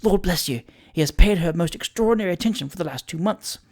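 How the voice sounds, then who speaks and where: A gleeful gentleman gossip from a comic storybook, played by a narrator. He sounds knowing and speaks in a hushed, confiding way. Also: quick pace, lightly acted. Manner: knowing low whisper.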